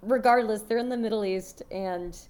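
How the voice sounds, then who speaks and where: A woman's voice: medium pitch, normal speed, a little mellow, clear, resonant tone. A woman co-hosting a podcast, chatting informally about TV, feeling her way through thoughts and prone to giggling. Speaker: podcast co-host. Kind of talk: casual chat about a TV show.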